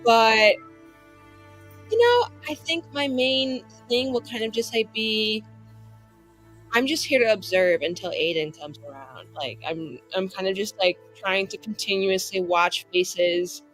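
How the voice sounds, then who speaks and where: A woman's voice: mid-range voice, lively intonation, measured pace, a bit bright, faint music behind, low-quality recording. A woman playing a tabletop roleplaying game, improvising her moves in a casual, rambling way full of "like". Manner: rambling, peppered with "like".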